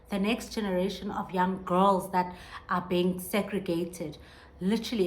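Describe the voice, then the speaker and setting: A woman in her thirties hosting a podcast, talking earnestly and reflectively on her own, with many restarts as she searches for words. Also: mid-range voice. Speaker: podcast host. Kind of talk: reflective solo monologue.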